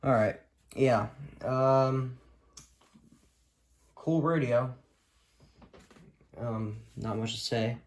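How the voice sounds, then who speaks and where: A man speaks in an ordinary mid-range voice, casually presenting things he owns. He is low-key and offhand, making it up as he goes like a home vlogger. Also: fairly even intonation, extremely slow, long pauses. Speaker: vlogger showing a weather radio collection. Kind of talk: casual unscripted show-and-tell. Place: indoors, rooms at home.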